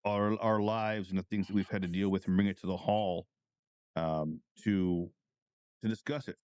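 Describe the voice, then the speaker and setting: A man in casual podcast conversation, speaking in hesitant, halting phrases as he hosts. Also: sits low, steady tone, a little mellow, clean tone, no room sound, studio quality.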